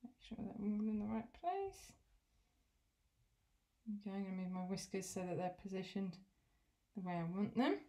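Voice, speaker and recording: A woman's voice: mid-range voice, lively intonation, normal speed, long pauses. A young woman teacher demonstrating a recipe for children at home. Her delivery is patient and encouraging, breaking each step down simply. She is heard in a domestic kitchen on the camera's own mic. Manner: gentle, encouraging, step-by-step explaining.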